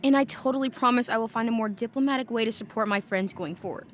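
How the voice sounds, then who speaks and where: A woman's voice: a little high, quick pace, close to an everyday voice. An actress playing a breezy, outspoken teenage schoolgirl in a family audio drama, talking in casual teen slang. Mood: pleading, relieved.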